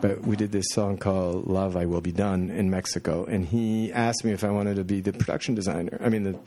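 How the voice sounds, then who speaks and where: A middle-aged man from France with a low voice and a mellow, dark tone. He tells his stories in a rambling way, full of fillers and asides, as a production designer being interviewed. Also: fairly even intonation, fairly quick, slight background noise. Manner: rambling anecdotes with many fillers. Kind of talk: casual interview storytelling.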